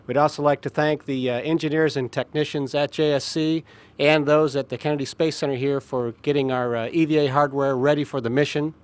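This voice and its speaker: A man's voice: on the high side, steady tone, brisk, smooth. A man who leads the spacewalks on the mission, giving a polite press statement and hesitating often. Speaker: astronaut, spacewalk lead.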